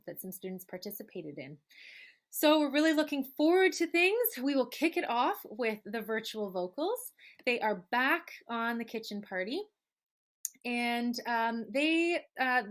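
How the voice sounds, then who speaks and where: A woman's voice: mid-range voice, fairly bright tone. A woman co-hosting an online arts show in an informal, chatty, effusive and welcoming way.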